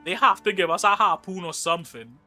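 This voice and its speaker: Bright-voiced Trinidadian man streaming a game. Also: high-pitched, a little fast, soft background music. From Trinidad and Tobago. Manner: chatty, joking, reads chat aloud. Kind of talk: casual live-stream gaming chat.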